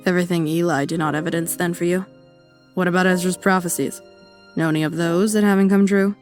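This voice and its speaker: A woman's voice: lowish voice, steady tone, fast talker, soft background music, lightly acted. A woman acting a brash, foul-mouthed believer in an audio drama, blunt and sarcastic. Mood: defiant, heated.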